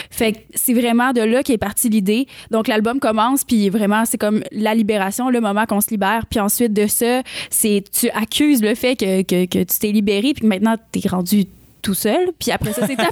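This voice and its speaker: A young woman in her twenties from Quebec, speaking with a Quebec French accent as a singer-songwriter guest in a casual interview, animated and laughing often. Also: mid-range voice, dry recording, studio quality. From Quebec, Canada. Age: 20s. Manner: animated, laughs often, many fillers and restarts.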